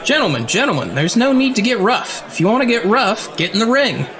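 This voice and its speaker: A man's voice: high-pitched, big pitch swings, a little fast, crowd noise. A man playing a talkative, theatrical fight-ring boss with a morbid streak in an audio drama. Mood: placating, firm.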